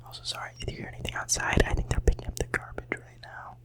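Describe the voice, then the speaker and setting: A teenager in their late teens whispering ASMR, a little sick and hoarse, rambling casually and softly repeating words at home into a close microphone. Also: quick pace. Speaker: ASMR creator.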